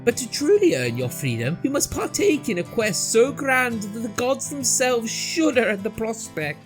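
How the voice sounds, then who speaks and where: A man's voice: very high-pitched, big pitch swings, moderate pace, over music, voice pushed up. A man voicing a stern, imperious female demon in a storybook tale, speaking in a grandiose, commanding way. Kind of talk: storybook character voice.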